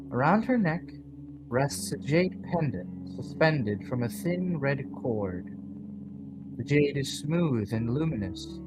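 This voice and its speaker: A man reading scripted narration for a horror audio drama in a measured, dramatic storytelling manner. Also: mid-range voice, poor audio. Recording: background music under the voice. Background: music.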